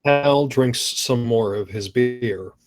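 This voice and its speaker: A man's voice: mid-range voice, slow. A man narrating screenplay stage directions in a scripted table read.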